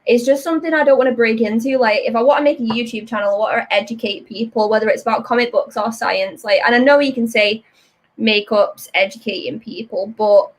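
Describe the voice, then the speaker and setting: Chatty British woman, higher voice. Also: fairly quick. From Britain. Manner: lively, chatty, frank. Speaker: host, comic artist. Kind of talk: casual chat while inking.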